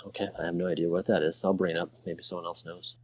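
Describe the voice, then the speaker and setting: A man's voice: deep voice, flat delivery, fast talker. A man speaking casually as a player in a tabletop roleplaying game.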